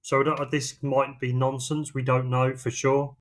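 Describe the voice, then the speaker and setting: Male British co-host, mid-pitched voice, relaxed conversational style, sardonic and happy to swear. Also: a little slow. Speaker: podcast co-host. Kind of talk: casual chat.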